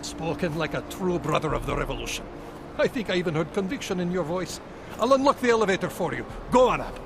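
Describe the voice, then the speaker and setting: A man's voice: high voice, expressive, fast talker, gravelly, background noise. An actor playing an earnest, loyal augmented man who guards a rebel group's door in a video game cutscene. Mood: wary. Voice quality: earnest, admiring, reflective.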